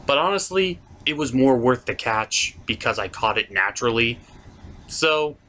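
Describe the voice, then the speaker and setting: Male list-show host, medium pitch, highly animated melody, casual and wry commentary. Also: moderate pace. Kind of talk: casual opinionated countdown commentary.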